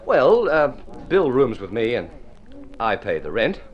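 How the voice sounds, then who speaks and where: A man's voice: medium pitch, very animated, unhurried, dull audio. An actor playing a wry, practical, struggling male writer with a teasing, sardonic manner in an old radio drama. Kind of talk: vintage radio drama acting.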